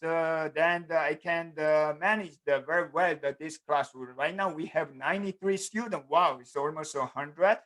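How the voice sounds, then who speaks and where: A middle-aged man from South Korea, Korean by native language, teaching an online programming class in English with a Korean accent. He talks in halting bits, with many fillers and an often repeated "the". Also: a little high, a bit bright. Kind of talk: online class lecture over video call. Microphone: computer mic on a video call.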